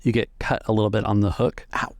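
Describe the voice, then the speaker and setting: A man's voice: lowish voice, little pitch movement, quick pace, gravelly, a little mellow, no room sound, clean studio sound. A man narrating scenes as game master of a tabletop roleplaying game. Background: music.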